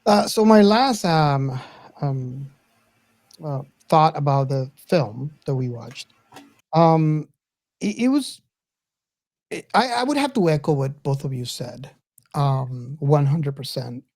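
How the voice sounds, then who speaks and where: A woman hosting a reflective film discussion, speaking haltingly with repeated words and emphatic stretches. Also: sits low, expressive, darkish tone. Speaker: podcast host.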